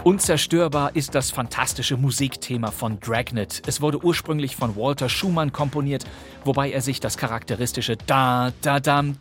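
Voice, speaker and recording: A man with a German accent hosting a podcast, reading scripted narration in an articulate, presenterly way. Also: medium pitch. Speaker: podcast host. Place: dry studio.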